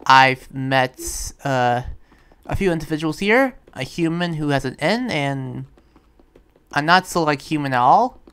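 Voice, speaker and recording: A person improvising a relaxed demon slayer in a game roleplay, with a hesitant, halting delivery. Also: medium pitch, clean tone. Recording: music behind the voice.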